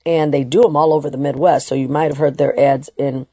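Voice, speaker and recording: A woman's voice: deep voice, fairly even intonation, a little fast, dark tone, a little hiss or hum. A woman hosting a political talk radio show, delivering an emphatic, opinionated monologue with restarts and bits of Spanish. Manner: slips into Spanish phrases now and then.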